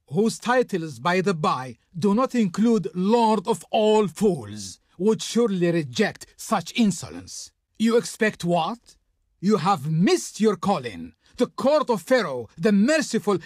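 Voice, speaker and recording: An actor playing a pompous, scornful envoy of the Pharaoh in a video game, imperious and insulting in a theatrical delivery. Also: male voice, high voice, big pitch swings, slow, no echo, clean studio sound, full character voice. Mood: haughty refusal, then mocking. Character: haughty, scornful male Egyptian envoy of the Pharaoh. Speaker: diplomat character in a strategy game. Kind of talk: theatrical scripted game voice lines.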